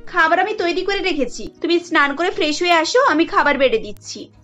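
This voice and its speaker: A woman's voice: very high voice, animated, brisk, bright, forceful tone, exaggerated voice. A woman playing an overworked young working wife in a cartoon family drama, earnest and defensive. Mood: dutiful. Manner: earnest, complaining, emphatic. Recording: muffled audio with music behind.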